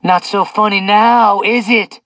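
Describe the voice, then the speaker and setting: A man's voice: mid-range voice, fairly even intonation, a little slow, slightly rough, dry recording, recorded from a distance, highs cut off. A man reading an audiobook, voicing a cruel, taunting male captor who drips with venom and drags out his mocking words. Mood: shouting. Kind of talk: audiobook character voice.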